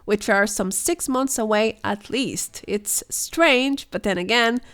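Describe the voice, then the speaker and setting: Hoarse woman with a slightly high voice. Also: a bit bright. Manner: speculative, explanatory, measured. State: hoarse, voice weakened by illness. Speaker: gaming news host. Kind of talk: scripted voice-over commentary.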